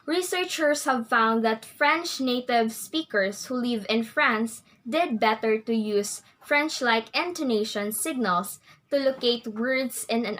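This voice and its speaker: A woman's voice: a little high, fairly bright tone. Young woman reading a class report. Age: young adult. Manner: reads out prepared text. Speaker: student presenter.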